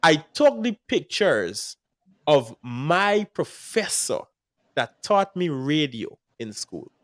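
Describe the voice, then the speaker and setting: A man with a Guyanese accent, hosting a casual music industry chat and telling animated stories with frequent laughs. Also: a little high, slow. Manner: animated storyteller, laughs often. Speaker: podcast host.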